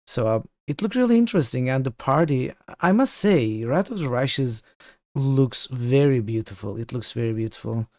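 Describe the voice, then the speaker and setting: A hoarse man in his home streaming setup talks casually to his viewers, rambling and halting as he thinks aloud. His voice sits at an ordinary pitch, and the room is dry, with close sound and no echo. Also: lively intonation, a little mellow. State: hoarse from illness, losing his voice. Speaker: game streamer. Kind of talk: casual livestream chat.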